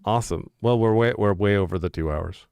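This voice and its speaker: A man's voice: on the low side, brisk, gravelly, darkish tone, clear, resonant tone, no echo. A man in his thirties co-hosting a casual chat, joking and laughing often. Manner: jokey, laughs often, drifts into movie quotes. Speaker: podcast co-host.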